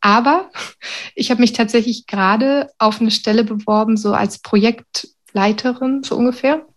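A woman's voice: on the high side, steady tone, normal speed. A middle-aged woman from Berlin talking candidly and reflectively about personal experience in an interview. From Berlin, Germany. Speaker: interviewee, university secretary with a doctorate.

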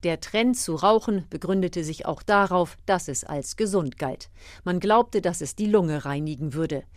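A woman narrates a radio feature in a fairly low voice. Her delivery is scripted documentary storytelling, recorded close and dry with very little room sound. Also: measured pace, no room sound.

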